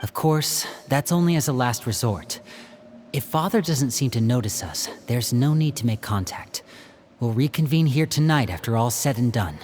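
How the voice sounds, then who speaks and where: A playful young stage magician from a game, voiced by a man, leading his siblings with a smooth showman's charm. His pitch swings about lively as he talks, never flat. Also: normal speed, slight background noise, close to an everyday voice. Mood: planning. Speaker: older brother planning a rescue. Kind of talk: video game dub acting.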